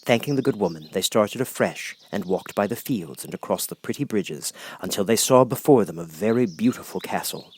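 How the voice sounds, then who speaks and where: A man reading a children's story aloud as an expressive audiobook narrator. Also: fairly low pitch, brisk, hardly any pauses, slightly rough.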